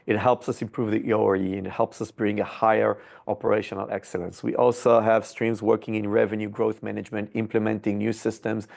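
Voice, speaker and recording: Low-pitched, flat-toned executive man. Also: vocal fry. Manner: halting, with frequent hesitations and repeated words. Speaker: company executive presenting results. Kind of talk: corporate earnings presentation.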